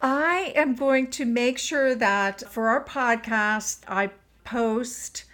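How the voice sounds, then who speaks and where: Slow-paced, higher-voiced woman hosting an interview. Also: a bit bright. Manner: affirming, supportive. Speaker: podcast host. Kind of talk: conversational interview.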